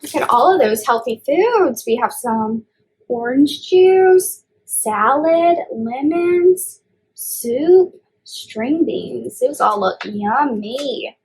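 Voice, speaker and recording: A woman speaks in a high, very animated voice at a slow pace. She leads story time for young children, putting deliberate emphasis on key words. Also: rough recording.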